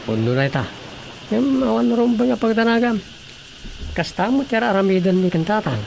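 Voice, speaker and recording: A man's voice: high voice, very animated, fairly quick, darkish tone, exaggerated voice. Hopeful young fisherman, noisy recording. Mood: practical. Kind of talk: radio drama acting. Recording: clearly noisy, ambient recording.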